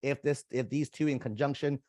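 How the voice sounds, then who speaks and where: A man's voice: mid-range voice, steady tone, brisk, dark tone, no room sound, studio quality. A young man, a college admissions coach whose first language is Vietnamese, talks viewers casually through an online application step by step. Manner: casual, conversational, addresses viewers as "you guys". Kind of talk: screen-share walkthrough tutorial.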